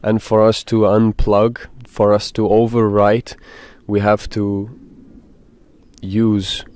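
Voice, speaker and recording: A man leading a guided meditation, speaking in a deliberate, halting way with pauses. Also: lowish voice, monotone, darkish tone.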